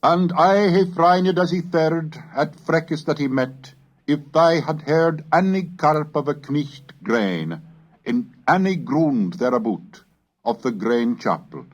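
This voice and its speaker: Man, muffled 1970s cassette sound, very unhurried pace, rhythmic verse recitation, declamatory, measured. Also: on the high side, animated. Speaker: reader of historical English texts. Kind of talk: recitation of Middle English alliterative verse. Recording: dull lo-fi 1970s cassette recording.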